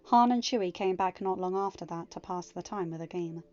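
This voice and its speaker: A woman narrating a fan story in a steady reading voice, then chatting casually to listeners. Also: lowish voice, a little fast, lo-fi sound. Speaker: fanfiction audiobook reader.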